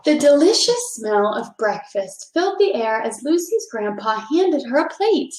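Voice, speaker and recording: A woman's voice: high voice, very animated, a little slow, a little mellow. A woman teacher reading a picture book aloud and explaining things simply for young children. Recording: roomy, echoing indoor sound.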